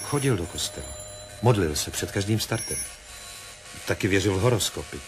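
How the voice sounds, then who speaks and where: A reserved military investigator, with a distinctly low voice. He reports to his superior in a terse, matter-of-fact way, in a 1970s radio drama. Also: animated, music in the background. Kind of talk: radio play acting.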